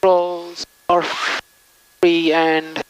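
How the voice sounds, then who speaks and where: A man's voice: fairly high pitch, completely flat delivery, extremely slow, a little hiss or hum, low-quality recording. A man, a pilot, calling out pre-flight checks to himself in clipped, methodical phrases in a small plane cockpit.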